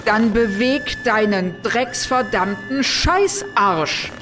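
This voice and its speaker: A woman's voice: a little high, slow pace, over music. A woman playing a foul-mouthed, sarcastic space outlaw in a science fiction audio drama, curt and mocking. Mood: impatient.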